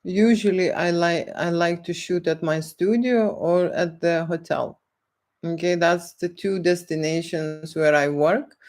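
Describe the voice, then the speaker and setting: Slow-speaking Lithuanian-accented woman. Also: on the low side, a little mellow. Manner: deliberate, keeps checking in with "okay?". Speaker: erotic photographer. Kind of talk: street interview answers.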